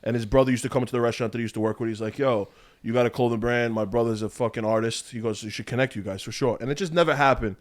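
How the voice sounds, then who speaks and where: Fast-talking young man, emphatic. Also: mid-range voice. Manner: fast, emphatic, heartfelt, swears freely. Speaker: podcast guest, clothing brand owner. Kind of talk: casual chat.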